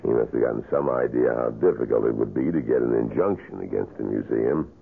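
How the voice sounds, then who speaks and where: Deep, gravelly male witness storyteller. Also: slight background noise, full character voice. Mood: matter-of-fact. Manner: measured storytelling. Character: man calmly recounting events he witnessed. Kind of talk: 1970s radio drama acting. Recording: muffled old radio recording.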